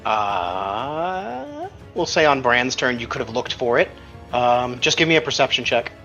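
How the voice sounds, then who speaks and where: A man's voice: medium pitch, very animated, unhurried, pushed and bright, lo-fi sound. A man casually narrating and refereeing a tabletop roleplaying game as game master. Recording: music playing behind, limited band. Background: background music.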